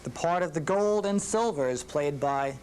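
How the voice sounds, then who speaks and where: A woman narrating a children's story in simple, deliberate phrases, with musical instruments behind her. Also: low-pitched, lively intonation, very noisy.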